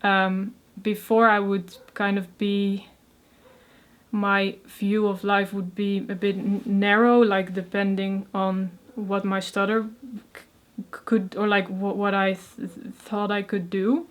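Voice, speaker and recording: A woman's voice: medium pitch, flat delivery, takes it slowly. A young woman from the Netherlands with a Dutch accent, who stutters on first sounds and talks with many fillers as an interview guest. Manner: soft-spoken, many fillers, frequent laughs. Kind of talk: casual interview conversation.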